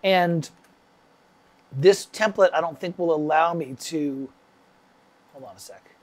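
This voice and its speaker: A man's voice: on the high side, slow, darkish tone. A man with a stuffy summer cold, teaching music composition on a casual livestream, rambling and chatting with viewers by name.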